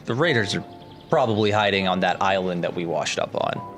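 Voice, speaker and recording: A man with a lowish voice plays a tabletop role-playing game among friends. He improvises casually in an offhand, joking manner, with faint music underneath. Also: expressive, brisk, fairly bright tone.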